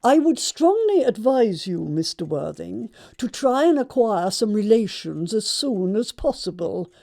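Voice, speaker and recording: Slow, imperious society matron. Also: female voice, medium pitch, gravelly, dark tone, full character voice. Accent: upper-class English. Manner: pompous, emphatic, deliberate enunciation. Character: imperious upper-class society matron, haughty and disapproving. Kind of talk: stage comedy acting in an audiobook production.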